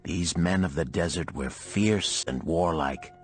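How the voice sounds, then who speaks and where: Low-voiced man narrating a parable. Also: unhurried, faint music behind. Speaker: audiobook narrator. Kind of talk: scripted storybook narration in first person.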